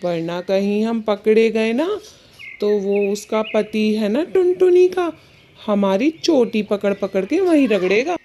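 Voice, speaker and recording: Woman doing a sly boastful bird husband for a kids cartoon, mellow and unhurried, agrees with his wife. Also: medium pitch, close to an everyday voice. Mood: scheming. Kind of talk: Hindi children's cartoon dialogue.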